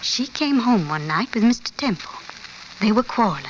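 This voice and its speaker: Meek maid, muffled old radio recording. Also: female voice, on the high side, big pitch swings, moderate pace, a little hiss or hum. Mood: uneasy, plain. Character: plain-spoken former maid, meek and loyal. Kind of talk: 1940s-50s American radio drama acting.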